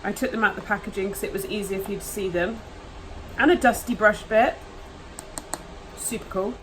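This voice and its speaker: A woman talks through an unboxing in a casual, gushing way, sniffling now and then from hay fever. Her voice sits at an ordinary pitch for a woman. A faint hiss of background noise runs under the recording. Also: normal speed, rough recording. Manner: chatty, gushing over products. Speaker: nail vlogger. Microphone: camera mic, voice off camera.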